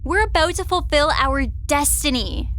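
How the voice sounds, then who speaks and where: A woman's voice: high-pitched, animated, a little slow, fairly bright tone. A woman voicing a vain, explosion-hungry self-destruct program, brash, boastful and theatrical, in a comic sci-fi audio drama. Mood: cocky, eager.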